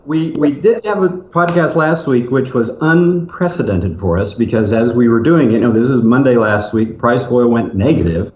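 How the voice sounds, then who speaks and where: A middle-aged man co-hosting a podcast, explaining things in a measured way with frequent fillers. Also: medium pitch, lively intonation, darkish tone, slight background noise. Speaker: podcast co-host. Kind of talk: casual conversational podcast. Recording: roomy, muffled remote audio.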